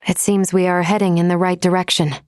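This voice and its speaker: A woman's voice: lowish voice, flat delivery, a little mellow, no room sound, natural voice. Composed, precise female android acted by a woman, dry sound. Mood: calm, alert. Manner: formal, measured, precisely worded. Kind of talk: video game voice acting.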